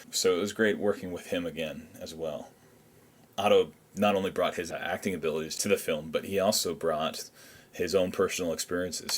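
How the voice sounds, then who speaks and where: A man speaking as a film director, giving measured, earnest behind-the-scenes commentary. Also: deep voice.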